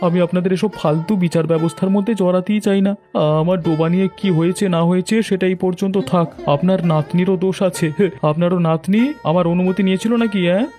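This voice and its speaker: A man's voice: sits high, fairly even intonation, fast, warm tone, lightly acted. A man playing a curt, irritated villager in a cartoon, dismissive and insistent. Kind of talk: dubbed cartoon dialogue. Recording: music bed behind the voice.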